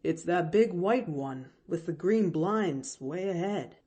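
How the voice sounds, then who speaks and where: A woman's voice: fairly low pitch, lively intonation, slow pace, dark tone, husky, full character voice. A woman voicing a flustered young hired girl with plain country speech who stammers. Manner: plainspoken, rural grammar, stammering.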